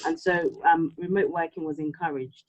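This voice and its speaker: Slightly muffled, lower-pitched woman chatting. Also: steady tone, moderate pace, a crowd in the background. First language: Yoruba. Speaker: podcast co-host. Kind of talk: reflective casual conversation. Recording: slightly dull, lo-fi sound.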